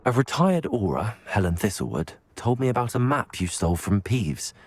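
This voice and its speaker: A man playing a composed, inquisitive young wizard student in a video game, speaking in a measured way. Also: lowish voice, very animated. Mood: earnest, questioning.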